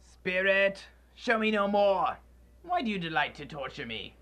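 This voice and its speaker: A man's voice: high voice, big pitch swings, a little slow, fairly bright tone, heavily acted. A man playing an anxious, remorseful old miser in a theatrical, pleading, exclamatory radio drama style. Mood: anguished pleading. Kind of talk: live student radio drama acting.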